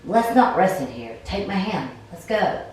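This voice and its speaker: A woman's voice: lowish voice, sing-song, a little slow, darkish tone, pitched above the performer's own voice. A woman voicing an urgent, caring, adventurous heroine in a story game, exclamatory and coaxing. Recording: roomy, distant mic, faint noise.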